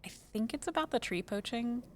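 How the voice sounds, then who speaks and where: An actress playing a friendly, chatty, curious office coworker in an audio drama, in a natural conversational style. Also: female voice, fairly high pitch, lively intonation, moderate pace, lightly acted. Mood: friendly, gossipy.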